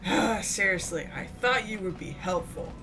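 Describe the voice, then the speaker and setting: A woman's voice: medium pitch, expressive, moderate pace, music in the background, low-quality recording. A woman chatting casually and playfully as she plays a video game, with a mock-bossy manner. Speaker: gamer playing with a friend. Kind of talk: casual gameplay chat. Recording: loud background noise.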